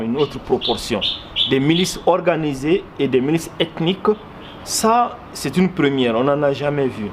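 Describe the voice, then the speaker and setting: A man with a Malian accent giving earnest, explanatory interview answers, often repeating words for emphasis. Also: medium pitch. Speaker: interviewee, commentator. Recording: clearly noisy background ambience.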